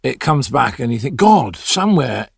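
A man's voice: mid-range voice, big pitch swings, normal speed, gravelly, slightly rough, no echo, studio quality. A middle-aged man, an actor, talking reflectively in an interview. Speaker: audio drama actor.

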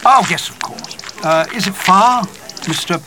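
An actor playing a nervous, bumbling gentleman in an audio drama, speaking in a hesitant, proper, apologetic way. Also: sits high, measured pace, noisy background. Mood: polite, hesitant. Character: nervous, polite, bumbling male newcomer.

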